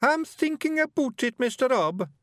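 A man's voice: very high voice, very animated, normal speed, fairly bright tone, no room sound, clean studio sound, voice pushed up, heavily acted. A man voicing a very young, small fairy-folk bard, earnest, anxious and respectful, with a Scottish accent in a storybook. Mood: puzzled. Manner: earnest, nervous, deferential.

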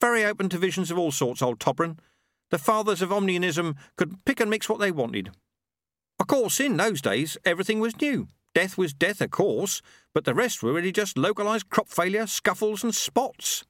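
A man voicing Ronnie, a boastful, touchy former horseman turned milkman, in a storybook reading with a lively, much higher voice. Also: moderate pace, no echo, clean studio sound. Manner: chatty, boastful, sour at times.

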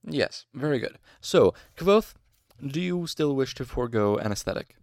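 A man's voice: medium pitch, animated, normal speed, darkish tone, no echo, voice pushed up, close to an everyday voice. A man voicing a wry, stern older male master physician who teases his students. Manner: dry, teasing, stern.

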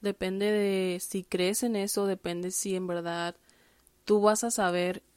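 A woman's voice: medium pitch, flat delivery, slow pace, a little mellow, clean tone, no echo. Candid, chatty woman, dry sound. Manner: candid, chatty, with frequent fillers and self-corrections. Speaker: podcast host. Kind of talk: casual personal monologue. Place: lives in the United States.

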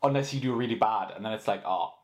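A man's voice: lowish voice, quick pace. A young man co-hosting a casual drunken podcast chat, making dry teasing remarks. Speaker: podcast co-host.

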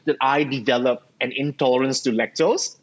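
A young man from Singapore telling his story as a guest, relaxed and halting, with frequent ums and self-deprecating humour. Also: medium pitch, slightly rough. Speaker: cheesemonger guest. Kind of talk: casual interview chat.

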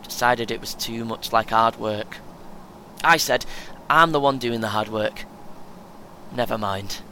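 Audio play: male actor as cocky, earnest young British runner, chatty know-all, bright edge, average pitch, light engine rumble underneath. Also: a little slow. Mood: deflated. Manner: earnest, self-important, chatty, chuckles often. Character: chatty, boastful, eager young amateur marathon runner. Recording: faint vehicle noise behind. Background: vehicle rumble, coach ambience.

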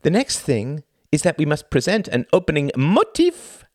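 A man voicing a flamboyant, theatrical thin stage performer in a grandiose, excitable manner. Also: on the high side, normal speed, no room sound, studio quality, pitched above the performer's own voice.

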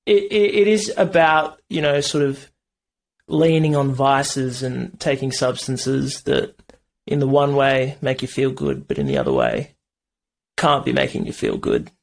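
A man's voice: mid-range voice, unhurried, gravelly. An Australian man, a band member, talking in a casual interview, hesitant and thoughtful. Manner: hesitant, thoughtful, lots of fillers.

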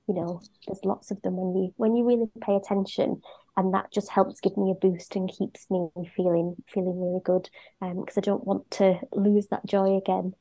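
Mellow-voiced reflective woman. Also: mid-range voice, poor audio. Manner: gentle, reflective, frequent fillers. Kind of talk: casual conversation.

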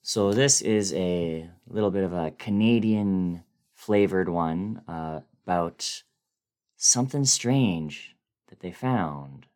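A man's voice: low-pitched, darkish tone. A man speaking as a guest reader, casually explaining the piece ahead.

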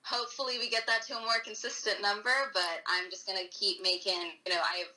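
A woman's voice: medium pitch, flat delivery, fast talker, sharp tone, rough recording. A young American woman talking as a guest in a casual interview, thoughtful and hesitant, with many "um"s and "like"s. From United States. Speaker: guest YouTuber.

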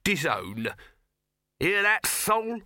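A man's voice: high-pitched, very animated, drawn-out words, pushed and bright, dry recording, studio quality, voice pushed up, full character voice. A man voicing a bossy male film producer in an audiobook, haranguing people with impatient, emphatic commands. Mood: bossy, excited.

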